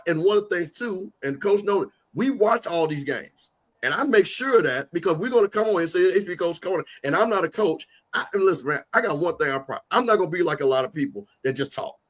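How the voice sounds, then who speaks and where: Loud, emphatic male sports show host. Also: sits high. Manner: loud, emphatic, restarts sentences. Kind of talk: casual sports talk.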